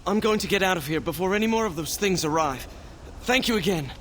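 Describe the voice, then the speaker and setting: Scared townsman in a video game, kinda high voice, anxious n grateful, bit of noise. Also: lively intonation, quick pace, a bit bright. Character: relieved frightened male townsman fleeing monsters. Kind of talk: scripted game dialogue.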